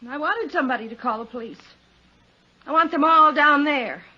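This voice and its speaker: A desperate, wary American woman in a 1950s radio drama. Her voice is very high, tense and piercing, overwrought with defiance. It comes through a dull, noisy vintage recording. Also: moderate pace, sharp tone. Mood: bitter, defiant.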